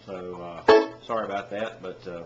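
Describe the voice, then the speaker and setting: A man with a head cold and a stuffy nose, teaching banjo in a relaxed, rambling way with many pauses. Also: deep voice, loud music behind the voice. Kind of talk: casual instructional talk. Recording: echoey room with dull, lo-fi sound. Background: loud banjo playing.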